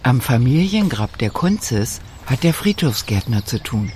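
A man reading scripted narration for a radio documentary feature in a calm, measured way. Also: medium pitch, a little hiss or hum.

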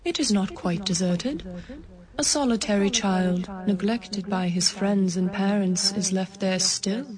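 An actress playing a solemn female ghost of the past in a radio play, speaking in a measured, grave way. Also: medium pitch, crowd noise, close to an everyday voice. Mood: probing.

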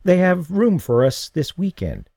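A male narrator voicing a kind young woman who sounds gentle and a little hesitant. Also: on the low side, huge pitch swings, unhurried, no echo, clean studio sound, pitched above the performer's own voice, full character voice. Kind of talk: audiobook reading.

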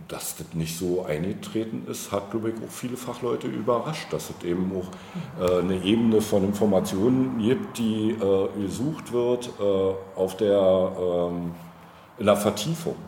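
Male interviewee, political candidate type: deep, mellow voice, hesitant and deliberate, roomy sound. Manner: hesitant, deliberate, halting phrasing. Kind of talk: reflective interview answers on policy. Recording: roomy, noticeable background noise.

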